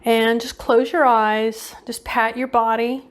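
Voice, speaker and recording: A woman's voice: a little high, little pitch movement, slow pace. A woman leading an unscripted guided visualization meditation, giving casual instructions and often repeating her phrases.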